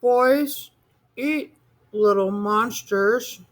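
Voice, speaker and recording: A man voicing a small, fearful monster child who is scared of boys, in a high, drawn-out voice. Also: fairly bright tone, full character voice. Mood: timid.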